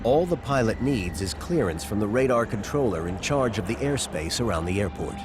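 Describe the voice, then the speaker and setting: A man reading scripted documentary narration in a measured, suspenseful storytelling manner. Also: lowish voice, big pitch swings. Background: background music.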